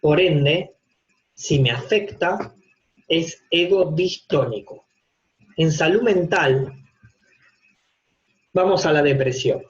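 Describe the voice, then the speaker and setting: A man's voice: fairly high pitch, slow pace, pauses often. A man with an Argentine accent giving an informal online university lecture from a home office, explaining step by step with everyday examples and rhetorical questions. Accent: Argentine Spanish. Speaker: university psychopathology teacher. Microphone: video call. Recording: dull, lo-fi video-call audio.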